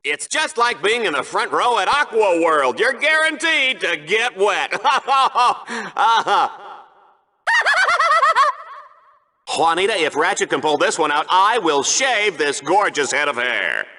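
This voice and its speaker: An actor playing a smug, excitable TV arena commentator in a video game, with a pompous, theatrical announcer delivery. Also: male voice, high voice, measured pace, hardly any pauses, heavily acted. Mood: amused.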